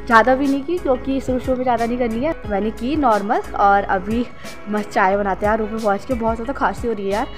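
A woman's voice: on the high side, fast talker, faint music behind. A woman, chatting casually to her phone held at arm's length for a vlog. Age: 20s. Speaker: vlogger. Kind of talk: casual vlog chat to camera.

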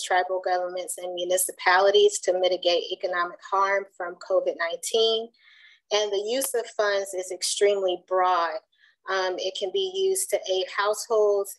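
A middle-aged woman from North Carolina, soft-spoken and measured, presenting research to a coalition over a webcam call from a room at home. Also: medium pitch, rough recording. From Durham, North Carolina. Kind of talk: informational presentation on a video call. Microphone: video call webcam.

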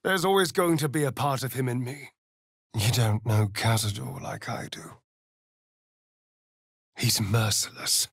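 An actor playing a sly, vain, sardonic vampire rogue in a video game, with an arch, theatrical drawl. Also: male voice, medium pitch, unhurried, gravelly, pauses often, a bit bright, slightly rough, no echo, studio quality, heavily acted. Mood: bitter. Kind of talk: video game character voice lines.

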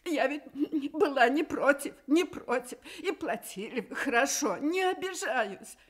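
A woman's voice: sits high, lively intonation, measured pace. An actress playing a desperate, humble old grandmother pleading in a radio drama. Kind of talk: Soviet radio play acting.

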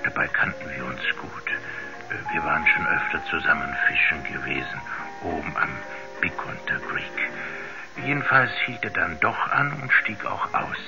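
An actor playing a shaken witness who hesitantly recalls an uncanny encounter in a 1970s radio drama. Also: male voice, low voice, huge pitch swings, moderate pace, vocal fry, heavily acted. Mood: uneasy, recalling. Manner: hesitant, searching for words. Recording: muffled 1970s radio play recording with music.

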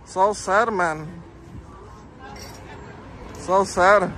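A man's voice: high-pitched, extremely slow, fairly bright tone, faint music behind. Taunting young man filming on the street. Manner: taunting, laughing, talks to camera. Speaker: street vlogger filming restaurants. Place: busy city sidewalk outside restaurant patio. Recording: noisy outdoor street recording. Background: crowd chatter and street traffic.

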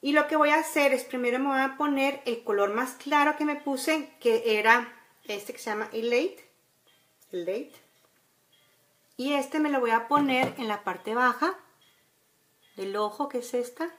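Mexican lady doing a chatty makeup tutorial at home, voice a bit high, keeps checking you follow. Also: a little slow, pauses often, a bit bright. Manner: instructional, chatty, with frequent checking tags. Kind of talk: casual makeup tutorial, talking to viewers. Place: room at home by a window, indoors.

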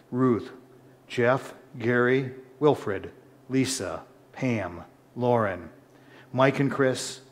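A middle-aged man, a pastor, leading intercessory prayers at the altar of a large church, in a measured, solemn intoning manner that lifts for the liturgy. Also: mid-range voice. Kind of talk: liturgical prayer and chanted-style preface. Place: church sanctuary, indoors, large. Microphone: far camera, altar mic.

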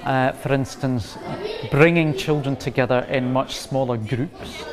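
A man in his forties with a Scottish accent, speaking in an earnest, measured way as he gives considered interview answers. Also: mid-range voice, steady tone. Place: large community hall, indoors. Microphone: lapel mic.

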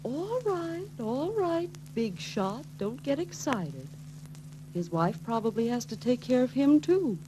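An actress playing a bossy, fussing wife, prim and chiding, in 1940s film style. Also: female voice, high-pitched, sing-song, slow pace, heavily acted. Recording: faintly noisy 1940s film soundtrack.

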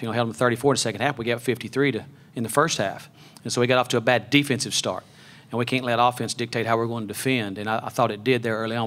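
Brief: middle-aged male coach, quick and level, postgame presser on a table mic. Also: medium pitch, a bit bright. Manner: measured, many fillers and restarts. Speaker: head basketball coach. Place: press conference room. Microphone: table mic on a stand.

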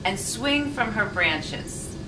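A woman's voice: medium pitch, lively intonation, slow pace. Woman reading a storybook aloud, roomy sound. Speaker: volunteer story reader. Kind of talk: children's storybook read-aloud. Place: indoor sitting room with leather sofa. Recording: roomy, distant mic, faint noise.

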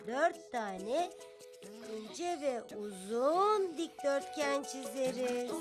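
A woman's voice: high voice, lively intonation, very slow, loud music behind the voice, exaggerated voice. A woman voicing a cheerful, helpful young animal in a children's cartoon dub, explaining things in a friendly, step-by-step way. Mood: patiently explaining.

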